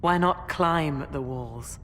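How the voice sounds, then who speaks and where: A woman playing a proud, blunt mountain tribe chieftain in a fantasy video game, commanding, scornful and measured. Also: deep voice, a bit bright, in a large hall, a little hiss or hum. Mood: proud resolve.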